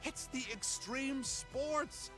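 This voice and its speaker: A man's voice: extremely high pitch, very slow, full character voice. A man voicing a flamboyant, cheeky trickster in a video game, wordy and playfully polite in a theatrical manner. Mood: chirpy, excited. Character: chirpy, flamboyant, cheeky male trickster who avoids fights. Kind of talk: video game character acting. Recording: game music behind.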